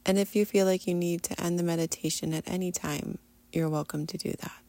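Low, soft-spoken woman guiding meditation. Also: steady tone. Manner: soothing, unhurried, often trailing into breathy near-whisper. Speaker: guided meditation teacher, podcast host. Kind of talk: guided meditation, soft instruction.

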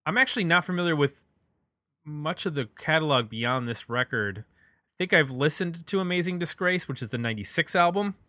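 A man's voice: fairly high pitch, animated, a little fast, bright, forceful tone, no echo. A middle-aged man chatting casually as the host of a music podcast.

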